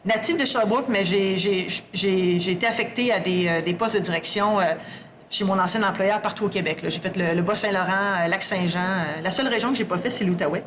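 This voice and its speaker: A woman's voice: medium pitch, a little fast, fairly bright tone, a little hiss or hum. Candid talkative Québécois woman. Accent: Québécois French. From Quebec. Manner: candid, talkative, often restarts her sentences. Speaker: guest, sustainability executive. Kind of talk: casual podcast conversation.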